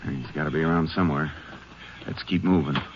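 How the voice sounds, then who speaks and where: A man acting a calm, seasoned Texas Ranger in a 1950s radio drama, laconic and steady. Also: very deep voice, a little fast, background noise. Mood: terse. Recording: dull old radio recording with music.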